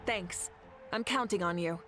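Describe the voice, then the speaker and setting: An actress voicing a confident, commanding young woman leader in an anime game, speaking in an assured, measured way. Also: medium pitch, a bit bright, faint music behind, natural voice. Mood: composed. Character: confident young adult female leader, warm commanding champion.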